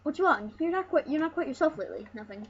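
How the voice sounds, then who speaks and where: High-pitched, muffled woman casually narrating gameplay. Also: animated, fast. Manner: joking, rambling, casually sweary. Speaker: let's play host and player. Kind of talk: casual gameplay commentary, reading game dialogue aloud. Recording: muffled lo-fi mic with background noise.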